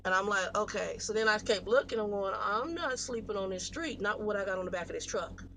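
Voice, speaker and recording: An American woman in her late forties talks casually to her viewers, rambling through stories in a blunt, animated, slangy way. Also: mid-range voice, fairly quick, slight background noise. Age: 40s. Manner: blunt, animated storytelling with slangy, salty language. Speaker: truck driver vlogger.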